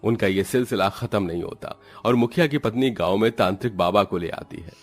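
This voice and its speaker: A man's voice: lowish voice, a little fast. A man narrating a scripted horror cartoon story as a storyteller, with music behind him.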